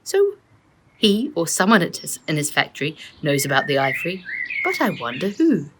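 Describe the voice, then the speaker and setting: A puzzled, thoughtful father from a children's storybook, read by a woman. He muses emphatically, his pitch jumping about. Faint noise sits in the recording. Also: very animated, normal speed.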